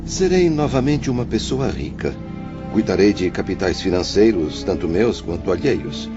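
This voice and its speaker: A man's voice: deep voice, sing-song, moderate pace. A man playing a remorseful, solemn male spirit awaiting rebirth, speaking formally in an audiobook drama. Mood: grave, determined. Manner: grave, formal, declamatory. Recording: dull lo-fi audio with music behind.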